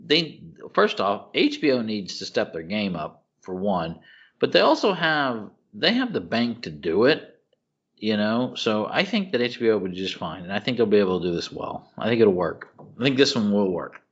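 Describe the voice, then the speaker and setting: A man's voice: fairly low pitch, sing-song, moderate pace, breathy edge, muffled recording. A man co-hosting a casual podcast, giving opinions emphatically, with slang, repetition and rhetorical questions. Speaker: podcast co-host.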